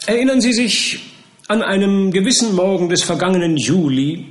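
A man voicing a pompous male prosecuting lawyer who speaks slowly and coaxingly. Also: high-pitched, echoey room, pitched above the performer's own voice, natural voice. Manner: slow, drawn-out, coaxing.

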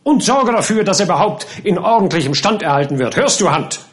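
Male narrator as pompous landowner captain, raised pitch, loud and barking. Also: lively intonation, a little fast. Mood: commanding. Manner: barking, irate, commanding.

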